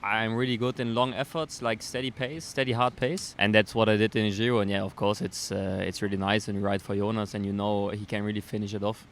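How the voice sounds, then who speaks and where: A young man from East Belgium with a German accent, a pro cyclist speaking haltingly in a casual interview. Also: lowish voice, little pitch movement, slight background noise. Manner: hesitant, many fillers and restarts.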